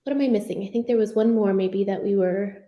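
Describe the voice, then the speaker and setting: A woman's voice: medium pitch, fast talker, warm tone. An American woman whose first language is Spanish, speaking informally as she leads an online panel discussion. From United States, Michigan. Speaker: panelist leading a community board discussion. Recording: roomy, echoing sound.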